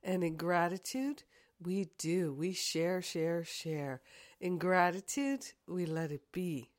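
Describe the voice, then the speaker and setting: A woman with a croaky, hoarse, congested voice, speaking as a spiritual teacher in deliberate, affirming phrases. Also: on the low side, lively intonation. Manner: deliberate, repetitive affirming phrases with long pauses. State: hoarse from sinus trouble. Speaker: spiritual teacher leading a prayer. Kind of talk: devotional guided prayer, then casual announcements.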